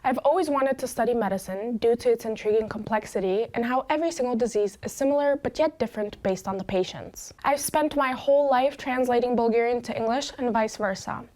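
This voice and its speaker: A woman, reading a scripted introduction of fellow students in a clear, measured way. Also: on the high side, a little mellow. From Chicago, USA. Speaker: student presenter.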